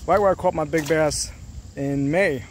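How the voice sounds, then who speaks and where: A man narrating his fishing trip from a small boat on a lake, chatty and excited, talking straight to the camera. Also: a little high, moderate pace. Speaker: fishing vlogger. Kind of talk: casual narration while fishing. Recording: faint outdoor noise.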